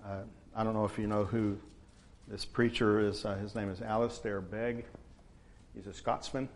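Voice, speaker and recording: A man, preaching a sermon to his church in a relaxed, conversational way. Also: fairly low pitch. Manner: conversational, unhurried, frequent uh fillers. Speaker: pastor.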